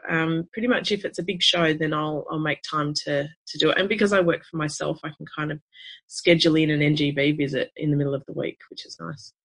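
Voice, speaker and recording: An Australian woman who is a podcast guest, talking in a relaxed, easygoing way with plenty of fillers. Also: low-pitched, brisk, husky. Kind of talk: casual conversation.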